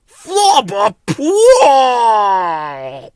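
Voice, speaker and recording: A man voicing an excitable little flowerpot man who exclaims in drawn-out babbling gibberish in a children's story. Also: very high voice, clean tone, no echo, pitched above the performer's own voice, full character voice. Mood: disgusted. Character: Bill and Ben, excitable little flowerpot men babbling nonsense.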